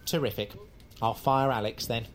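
A man's voice: mid-range voice, fairly even intonation, normal speed, lightly acted. A male actor plays a smarmy British branch manager in an audio drama. His mid-range voice is smug and blunt, with callous ease. Faint noise sits behind it. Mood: smug, conspiratorial.

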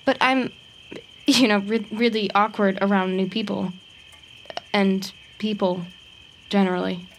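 A woman's voice: medium pitch, fairly even intonation, measured pace, pauses often, slight background noise, lightly acted. A woman playing an awkward, chatty sidekick who rambles with wry, self-deprecating humour in an audio drama. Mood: nervous teasing. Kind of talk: naturalistic audio drama acting.

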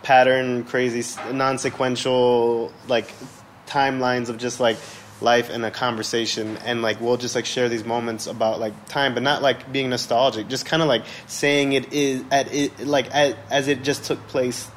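A man's voice: medium pitch, flat delivery, normal speed. A man in his thirties chatting casually as an interview guest, slangy and rambling, with lots of "like.". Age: 30s. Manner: rambling, heavy use of "like", slangy. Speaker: musician, podcast guest. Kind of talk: casual chat. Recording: noticeable background noise.

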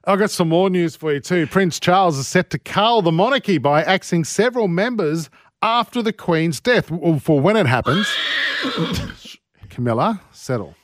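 A man co-hosts a radio show, trading cheeky jokes while he reads out the week's stories. His voice sits a bit high for a man. The sound is dry and close to the mic. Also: expressive, moderate pace, no echo. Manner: teasing, cheeky, jokey. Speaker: radio co-host. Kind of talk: casual banter reading news items.

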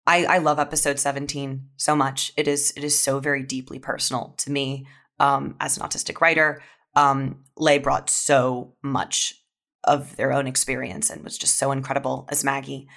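Low-voiced young woman, candid and earnest. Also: little pitch movement, moderate pace, gravelly, a bit bright. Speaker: audio fiction writer, podcast guest. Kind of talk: casual reflective interview talk.

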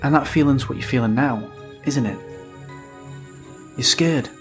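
A man's voice: medium pitch, unhurried, faint music behind, natural voice. A man acting in an audio drama as a protective father, coaxing his young son in an informal way. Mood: coaxing, concerned.

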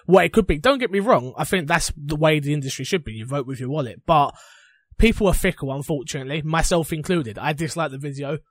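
Male podcast panelist, slightly high register, dry sound, blunt conversational debater. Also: lively intonation, fast, dry recording. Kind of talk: casual chat.

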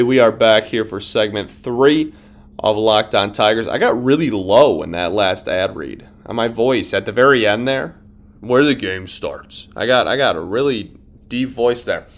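A man hosting a sports podcast, talking casually and emphatically, often repeating himself and restarting sentences. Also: mid-range voice.

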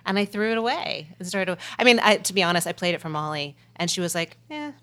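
A woman's voice: lowish voice, lively intonation, fast, fairly bright tone. A woman songwriter, a guest in a casual interview, talking in a candid, reflective way with some self-mocking humor.

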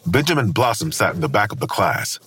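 A man narrating a scripted crime thriller audio drama in a grave, husky voice. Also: on the low side, sing-song, a little fast, fairly bright tone. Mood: measured, grave.

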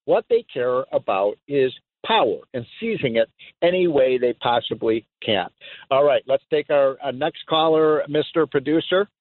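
A man hosting a call-in talk radio show, emphatic and excitable, often laughing as he talks. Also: medium pitch, normal speed, no echo. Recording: tinny phone-like sound.

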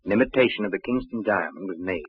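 A commanding police inspector in an old radio crime drama. His husky voice swings through firm, clipped phrases. The sound is narrow and muffled. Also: low-pitched, animated, quick pace, dry recording. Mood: brisk, stern. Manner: authoritative, clipped, matter-of-fact. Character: brisk, commanding male police inspector questioning suspects. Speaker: actor. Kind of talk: 1940s radio drama acting.

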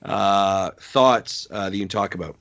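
A man's voice: low voice, vocal fry, a bit bright. A man hosting a podcast who is losing his voice and hesitates through his questions. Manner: drawn-out prompts, hesitant restarts. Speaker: podcast host. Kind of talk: casual podcast chat. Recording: dull lo-fi audio.

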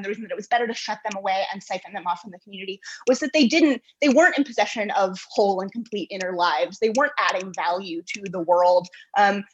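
A woman guest writer giving earnest, reflective interview answers in halting, carefully built phrases. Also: medium pitch, husky, highs cut off.

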